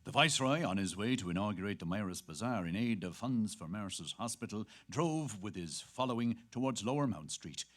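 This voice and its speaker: Man, lowish voice, highly varied intonation, dramatic literary reading. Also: expressive, fairly quick, hardly any pauses. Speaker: actor reading at a literary event.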